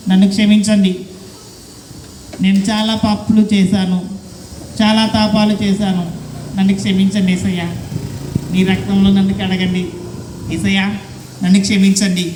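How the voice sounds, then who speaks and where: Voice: mid-range voice, flat delivery, normal speed, pauses often, darkish tone, close to an everyday voice. A puppeteer voicing an earnest, obedient, repentant boy puppet who preaches simply to children in a church puppet show. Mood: pleading. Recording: echoey hall, background noise.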